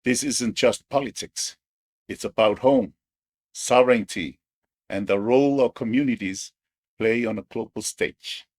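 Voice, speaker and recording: A man hosting his own show, talking in an unhurried, halting way as he searches for words. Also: mid-range voice, darkish tone, no echo, clean studio sound. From Samoa. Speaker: podcast host. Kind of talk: casual solo commentary.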